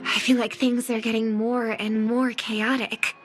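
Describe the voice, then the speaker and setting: Slow, high, earnest young woman an actress's game role. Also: flat delivery, faint music behind, lightly acted. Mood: wary. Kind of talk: scripted game dialogue, inner monologue.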